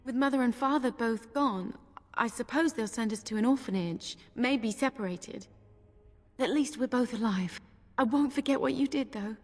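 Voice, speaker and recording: A woman's voice: fairly high pitch, moderate pace, lightly acted. An actress playing a grieving, anxious young village woman in a fantasy game, earnest and plaintive, with reverb on the recording. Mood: sad, grateful.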